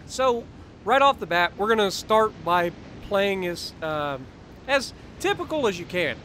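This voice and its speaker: A man explains his own gameplay in a slightly high, somewhat off voice, clearing his throat between thoughts. He teaches tactics with lots of uh's and restarts. Game gunfire and engine noise sit clearly under his voice. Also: big pitch swings, slow pace, long pauses, pushed and bright. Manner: explanatory, instructive, many uh fillers and restarts. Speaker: gameplay commentator. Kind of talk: recorded game commentary.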